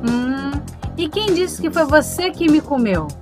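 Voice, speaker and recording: A flirty self-assured lover, high voice, music behind, audio drama. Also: female voice, lively intonation, measured pace. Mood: teasing, seductive. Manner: flirtatious, bantering.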